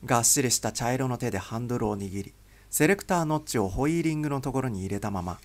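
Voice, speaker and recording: A man's voice: mid-range voice, animated, fast talker. A man reading a novel aloud as a scripted, dramatic audiobook narration.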